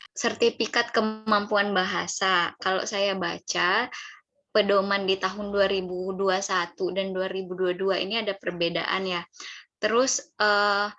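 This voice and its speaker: A woman's voice: mid-range voice, sharp tone. A young woman asking questions politely and methodically at an online seminar, in a careful, deferential way. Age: young adult. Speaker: audience member asking questions. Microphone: online call, camera off. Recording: slightly dull video call audio.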